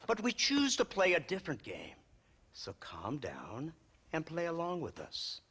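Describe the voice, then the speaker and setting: An actor playing a smug, menacing government lawman in a game, with an American accent, a husky voice and condescending mock politeness. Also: fairly high pitch, huge pitch swings, measured pace, a bit bright, full character voice. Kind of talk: video game cutscene acting.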